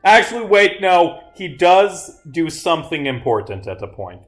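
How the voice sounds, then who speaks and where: A man giving live stream commentary as he playtests his own game, animated and given to muttered asides. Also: a little high, moderate pace. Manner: animated, muttering asides and reading dialogue aloud.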